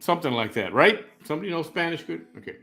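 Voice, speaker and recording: A man's voice: on the high side, sing-song, fast talker, pushed and bright, faint music behind. A man in his fifties from Chicago hosting a live-stream chat show, rambling, opinionated and joking as he reads out viewer comments. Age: 50s.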